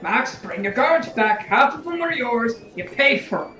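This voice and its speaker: A woman's voice: on the high side, sing-song, unhurried. Lady playing a cocky scheming space general, flippant n casual, echoey room, music under it, audio drama. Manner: flippant, slangy, informal.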